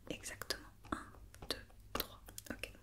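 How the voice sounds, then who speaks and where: Female ASMR performer as a doctor: bright, sharp whisper, patient and gentle, repeats instructions softly. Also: a little slow. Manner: patient, gentle repetition of questions and reassurance. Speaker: ASMR roleplayer playing a doctor. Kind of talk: whispered ASMR medical check-up roleplay.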